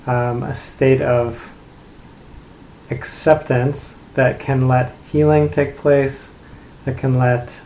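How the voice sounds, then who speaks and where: Mellow, unhurried man guiding meditation, roomy echo. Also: medium pitch, little pitch movement. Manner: unhurried, gentle instructing with long pauses. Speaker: meditation guide. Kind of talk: guided meditation, unscripted. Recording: roomy echo, light background noise.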